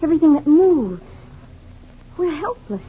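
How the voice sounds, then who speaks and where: A woman's voice: high voice, big pitch swings, a little slow. An actress playing a tender, earnest young American woman, a frightened survivor, in an old-time radio drama. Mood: fearful, wistful. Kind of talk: 1950s radio drama acting. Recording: dull, lo-fi old radio, faint noise.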